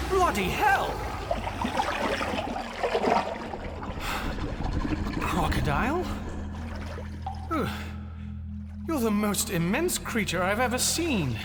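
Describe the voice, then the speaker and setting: Indignant British banker, animated and high-pitched. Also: male voice, exaggerated voice. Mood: startled, wary. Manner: theatrical, muttering to himself, exclaiming. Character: indignant British banker, grown man, suspicious and flustered. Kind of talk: audio drama acting. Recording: loud music behind the voice. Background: music.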